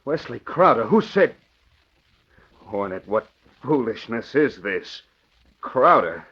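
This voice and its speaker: An actor playing a nervous, indignant crook on the defensive in an old radio drama. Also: male voice, medium pitch, sing-song, takes it slowly, a bit bright, husky, exaggerated voice. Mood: agitated, indignant. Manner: agitated, blustering, defensive. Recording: muffled vintage radio recording.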